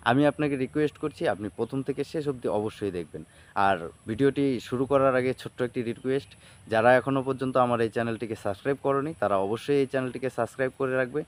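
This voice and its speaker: Quick-talking man presenting an informal tutorial. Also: mid-range voice, heavy background noise. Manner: repetitive, addresses viewers familiarly with catchphrases. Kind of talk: informal video tutorial narration.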